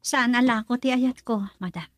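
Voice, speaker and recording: A woman playing a gentle, worried young woman in love in a radio romance drama, earnest and plaintive. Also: a little high, moderate pace, no echo, natural voice. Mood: hurt, firm.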